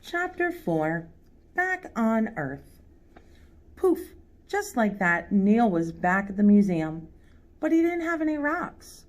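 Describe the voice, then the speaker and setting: A woman's voice: mid-range voice, sing-song. A woman reading a children's picture book aloud as a storytime reader, addressing young listeners directly. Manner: pauses to ask young listeners questions.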